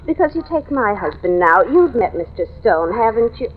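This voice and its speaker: An actress playing a chatty, gossipy married neighbour in an old-time radio thriller, gushing and exclamatory. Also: female voice, fairly high pitch, very animated, normal speed. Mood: gossipy, insinuating. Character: chatty, gossipy married woman neighbour in a city apartment house. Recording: tinny, narrow-band old radio recording.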